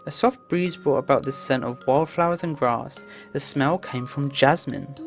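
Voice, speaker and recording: A woman's voice: very deep voice, moderate pace, soft background music. A woman reading a visual novel aloud as narration, stumbling now and then and adding casual asides.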